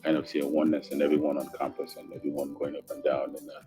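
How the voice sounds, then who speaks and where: A man's voice: a bass voice, completely flat delivery, fast, over music. A young man with a Nigerian accent giving an unscripted student testimonial. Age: young adult. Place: indoor lounge.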